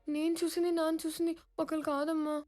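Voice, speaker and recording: A woman's voice: sits high, flat delivery, fast talker, natural voice. An actress playing a confused, lovestruck young daughter, hesitant and girlish, in a family film drama. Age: young adult. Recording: loud film score over dialogue.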